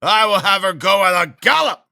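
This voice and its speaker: A man reading a classic novel aloud, voicing a drunken, brutal young peasant who shouts ferociously. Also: high-pitched, animated, moderate pace, vocal fry, no room sound, clean studio sound, pitched above the performer's own voice, exaggerated voice. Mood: defiant.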